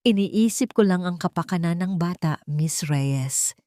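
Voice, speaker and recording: A woman's voice: lowish voice, unhurried, warm tone, no echo, studio quality, lightly acted. A woman voicing a cold, imperious rich matriarch in an audio drama, polite yet menacing. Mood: cold menace.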